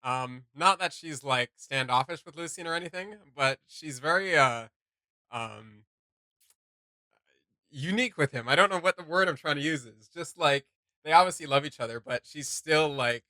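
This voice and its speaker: A man's voice: a little high, moderate pace, bright, forceful tone, no echo, studio quality. A man hosting a reaction video in casual chat, rambling a little and often hesitating as he searches for words.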